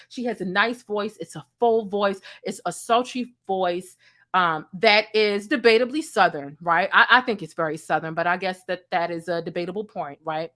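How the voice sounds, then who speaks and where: A woman with a deep voice hosting a livestream commentary show, emphatic and talking straight to her viewers. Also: bright, forceful tone. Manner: emphatic, repeats lines for punch, asks the chat questions. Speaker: YouTube commentary host.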